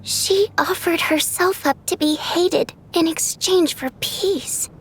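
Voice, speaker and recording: A woman's voice: very high-pitched, slow pace. A woman voicing an earnest, tender-hearted young girl, innocent and thoughtful, in a video game dub. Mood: sad, awed. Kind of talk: English game dub voice acting. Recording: music under the voice.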